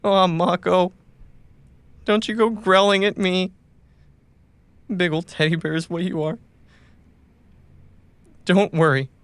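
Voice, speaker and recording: An actor playing a wounded, loyal cyberpunk runner in an audio drama, tenderly and haltingly reassuring a companion. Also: male voice, high voice, level tone, a bit bright, slight background noise, heavily acted. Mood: soft, determined. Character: wounded, loyal male caravan runner gently soothing his companion.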